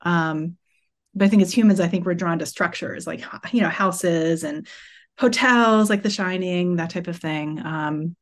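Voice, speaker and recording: A woman's voice: fairly low pitch, measured pace. A woman chatting loosely with many fillers as a guest in a casual roundtable discussion. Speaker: podcast guest.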